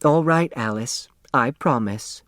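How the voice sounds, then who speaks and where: A man voicing a gentle, wistful, chatty older motherly seamstress in an audiobook. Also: medium pitch, takes it slowly, no echo, studio quality. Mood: kindly. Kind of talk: audiobook character dialogue.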